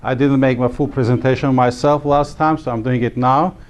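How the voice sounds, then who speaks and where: A middle-aged man from Armenia teaching a class, talking haltingly with many fillers and restarts. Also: mid-range voice, fairly even intonation. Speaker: instructor. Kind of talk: classroom lecture. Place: small classroom.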